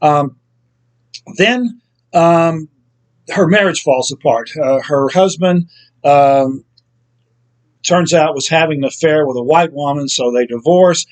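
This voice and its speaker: A man's voice: a little high, slow pace, long pauses. A man hosting his own book review show, talking through an author's life off the cuff, with frequent ums and uhs and corrections along the way. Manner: unscripted, many ums and uhs, self-corrections. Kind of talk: casual spoken book review.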